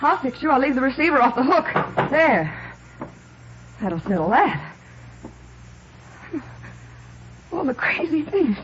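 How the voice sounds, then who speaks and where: A frightened young woman hiding something in an old radio crime drama. Her halting, stammering speech swings widely in pitch, and the vintage recording is muffled, with some background noise. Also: animated. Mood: frantic, exasperated. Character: frightened, secretive young woman hounded by threatening notes. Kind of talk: 1950s radio drama acting.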